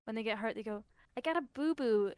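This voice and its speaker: A woman's voice: fairly high pitch, fast, a little mellow, dry recording, clean studio sound. A woman goofing around with a friend while recording voice lines, in a giggly, teasing manner. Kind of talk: playful casual chat.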